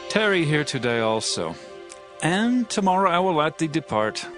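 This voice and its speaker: A man's voice: on the high side, big pitch swings, moderate pace, fairly bright tone, soft background music. An actor playing a commanding, passionate king of ancient Israel, speaking regally in a dramatized Bible audiobook. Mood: measured command. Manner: regal, declamatory.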